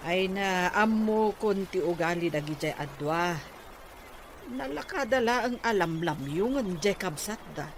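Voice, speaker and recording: A man's voice: sits high, lively intonation, a little slow, slight background noise. A man acting a skeptical farming villager in an Ilocano drama, speaking with an Ilocano accent. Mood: worried, grumbling. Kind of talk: amateur Ilocano radio-style drama acting.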